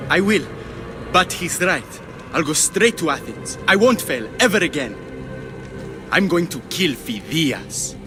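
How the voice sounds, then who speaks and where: A man's voice: fairly high pitch, animated, unhurried. A man voicing a chastened, fearful yet vengeful underling in a video game cutscene. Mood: grimly resolved. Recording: music playing over the voice.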